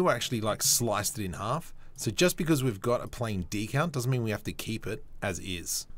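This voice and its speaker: A young man hosting a casual software tutorial, chatty and self-mocking as he thinks aloud while he works at a desk mic. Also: fairly low pitch. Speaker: 3D modeling tutorial host.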